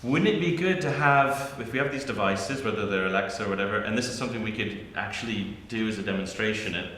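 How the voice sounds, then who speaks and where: A low-pitched man with a bright, forceful edge speaks on an academic panel. He thinks aloud, restarting his sentences and filling pauses. His voice rings through a roomy hall with a long reverb tail and a faint ambience. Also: a little hiss or hum. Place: university arts centre hall.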